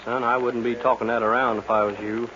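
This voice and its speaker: An actor playing a gossipy, wry saloon keeper in a 1940s radio western, with folksy phrasing. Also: male voice, medium pitch, flat delivery, a little fast, a bit bright, lightly acted. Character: gossipy, wry old-West saloon keeper, wary of strangers. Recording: muffled noisy 1940s radio recording.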